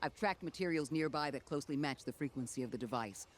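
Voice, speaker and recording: An actor voicing a calm, analytical male robot companion in a video game, speaking in a measured, clipped and precise way, concerned and protective of the player. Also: mid-range voice, slight background noise, close to an everyday voice. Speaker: companion guide character.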